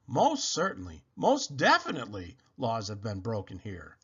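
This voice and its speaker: A man's voice: on the low side, huge pitch swings, moderate pace, a bit bright, slightly rough, highs cut off, heavily acted. A man voicing a pompous, officious policeman in an emphatic, self-important storybook manner. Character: pompous, officious policeman who answers himself.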